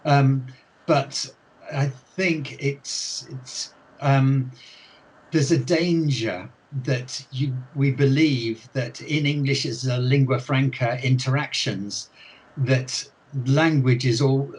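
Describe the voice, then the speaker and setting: An older man speaks slowly in a husky voice, as an author being interviewed. He thinks aloud, pausing and circling back over his words as he explains his ideas. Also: medium pitch, fairly even intonation, rough recording. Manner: thoughtful, many restarts and hesitations. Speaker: author and ELT expert guest. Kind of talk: unscripted interview talk.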